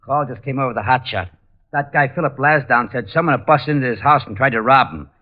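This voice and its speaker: An actor playing a dim, touchy, grumbling police sergeant with rough uneducated diction in an old radio drama. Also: male voice, mid-range voice, fast talker, natural voice. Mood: reporting. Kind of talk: 1940s radio drama acting. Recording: muffled old radio recording.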